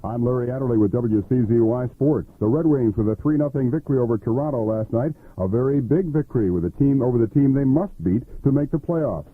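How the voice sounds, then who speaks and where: A man's voice: mid-range voice, fast talker, hardly any pauses, soft background music. An American man working as a radio sportscaster, reading scores and stories on a muffled, noisy 1980s radio recording. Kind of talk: scripted sports report.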